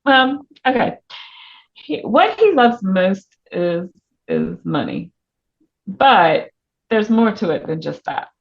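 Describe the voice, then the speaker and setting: A woman's voice: mid-range voice, very animated, slow, long pauses. A woman speaking as an author guest in a casual interview, informal and a little hesitant as she thinks aloud. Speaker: author guest on a book podcast. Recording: muffled, band-limited audio.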